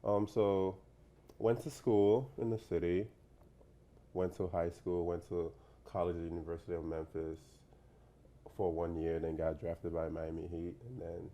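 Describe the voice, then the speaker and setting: Low, flat-voiced young man, relaxed. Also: moderate pace. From Nigeria. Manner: relaxed, rambling, many fillers and "you know". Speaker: professional basketball player, guest. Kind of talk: casual interview talk.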